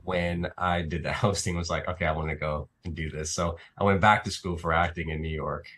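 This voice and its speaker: A man's voice: sits low, steady tone, fairly quick, a bit bright. A man raised in Italy, guest on a talk show, talking hesitantly with many fillers. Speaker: actor and filmmaker guest. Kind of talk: interview.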